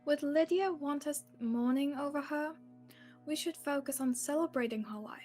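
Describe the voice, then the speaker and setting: A young woman playing an earnest, gently insistent helper to a sheriff in a student radio play. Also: high-pitched, moderate pace, soft background music, close to an everyday voice. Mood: consoling. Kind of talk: student radio-theatre acting.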